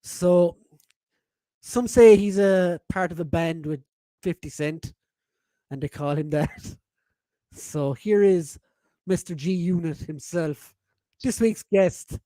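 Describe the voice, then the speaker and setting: A man's voice: sits high, takes it slowly, pauses often, dark tone, no echo. A man in his forties hosting a casual live-stream podcast, reading chat messages aloud, laughing often and swearing freely. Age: 40s.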